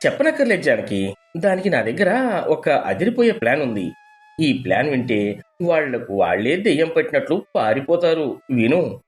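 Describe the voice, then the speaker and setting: A man's voice: fairly high pitch, very animated, moderate pace, soft background music. A man playing a scheming young husband who puts on desperate, pleading ruin in a dramatized moral story. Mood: fed up, scheming.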